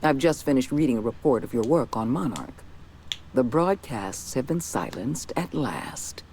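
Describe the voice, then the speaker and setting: A cold, formal corporate official in a video game, lively in pitch but clipped and businesslike. He hands out praise without warmth. Faint hiss sits under the game audio. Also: a little slow. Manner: clipped, coldly formal and approving.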